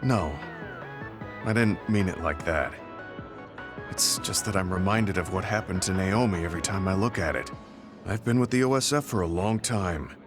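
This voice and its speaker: A kind, weary veteran soldier performed by a male actor for a video game. His voice sits on the low side and he speaks in measured, reflective phrases that carry long guilt. Prominent music plays behind him. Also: lightly acted. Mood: sorrowful, guilty. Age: middle-aged. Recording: studio voice under loud game music.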